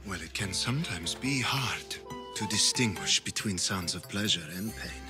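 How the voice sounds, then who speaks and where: An actor playing a flamboyant, lecherous aristocratic reveler in a video game, with a teasing, languid, theatrical manner. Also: male voice, low voice, expressive, normal speed, over music. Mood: seductive. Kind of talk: video game cutscene acting.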